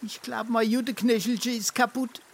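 A man's voice: very high voice, flat delivery, normal speed, slight background noise. An actor playing a brazen, boozy male freeloader in a dialect stage comedy, groaning and self-important, with a Darmstadt Hessian accent. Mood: sore, groaning.

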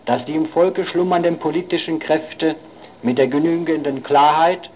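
An actor playing an earnest post-war parliamentarian making a formal, emphatic speech in a documentary radio drama. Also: male voice, a little high, steady tone, normal speed, darkish tone, dull audio, a little hiss or hum, lightly acted. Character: earnest male post-war social democratic parliamentarian arguing his case.

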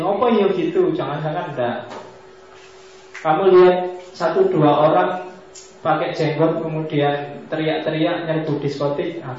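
Joking man lecturing in an echoey hall. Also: a little high. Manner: joking, mixes Javanese asides. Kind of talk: casual philosophy lecture. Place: large mosque hall. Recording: echoey hall, dull lo-fi sound.

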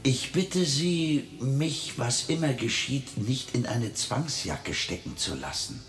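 An actor playing an obsessive, courteous asylum patient who pleads formally and insistently in a radio horror drama. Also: male voice, mid-range voice, animated, takes it slowly. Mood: courteous, pleading. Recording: roomy echo, faint music behind.

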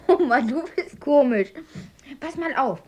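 A high child's voice playing a cheeky, football-mad schoolboy grandson in a radio drama. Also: female voice, lively intonation, slow. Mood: amused, eager. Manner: protesting, know-it-all, childlike. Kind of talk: 1970s radio drama acting.

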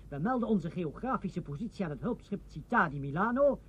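An actor playing a confident, buoyant member of a stranded airship expedition in a 1960s radio drama. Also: male voice, high voice, lively intonation, fairly quick, slightly rough, full character voice. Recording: dull old radio recording.

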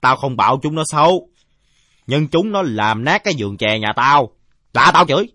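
A man's voice: mid-range voice, expressive, normal speed, pushed and bright, no room sound, clean studio sound, pitched above the performer's own voice. A man voicing a belligerent, drunken village father in a story, blustering and coarse-mouthed. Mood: indignant.

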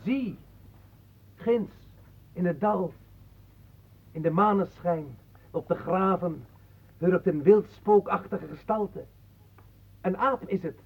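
Radio drama, thin band-limited audio: a theatrical, solemn versifier, husky and drawn-out in delivery. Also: male voice, high voice, exaggerated voice. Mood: declamatory, eerie. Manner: declamatory, portentous. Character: solemn, theatrical male poetry reciter. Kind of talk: radio play acting. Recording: muffled, phone-like old radio recording.

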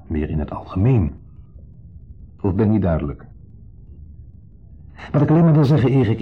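A man's voice: low voice, slightly rough, full character voice. An actor playing a lonely, needy man in a radio drama, insistently friendly and confessional, swinging between eager and downcast. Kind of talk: 1970s radio drama acting. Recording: dull 1970s radio recording.

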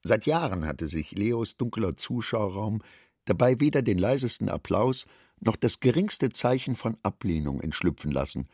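A man reading scripted literary narration for a radio play in a measured, articulate, dryly ironic manner. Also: lowish voice, very animated, darkish tone, husky, dry recording, clean studio sound.

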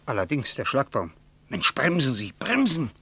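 An actor playing an anxious, scolding travel companion in a German radio play, urgent and reproachful. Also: male voice, medium pitch, huge pitch swings, moderate pace, bright, forceful tone, slightly rough, exaggerated voice. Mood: alarmed.

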